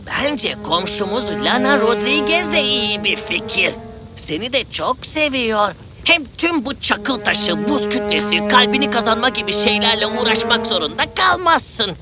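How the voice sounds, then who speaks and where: A woman's voice: on the high side, expressive, normal speed, loud music behind the voice, lightly acted. A woman playing a cheeky, impatient talking parrot sidekick, pert and teasing, in a fairy-tale cartoon. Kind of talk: animated fairy tale acting.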